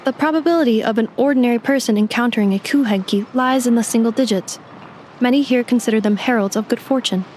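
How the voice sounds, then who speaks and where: A woman's voice: a little high, fast talker, darkish tone, slight background noise, natural voice. A woman voicing a polite female robot guide assistant who speaks in a precise, formal, factual way, in video game dialogue with music behind. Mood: calm.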